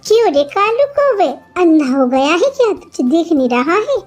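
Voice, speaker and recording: A woman's voice: extremely high pitch, big pitch swings, normal speed, a little mellow, soft background music. A woman voicing a feisty female sparrow in a kids' cartoon, with an emphatic, singsong, scolding manner. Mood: angry, scolding. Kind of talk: Hindi kids' cartoon character voice.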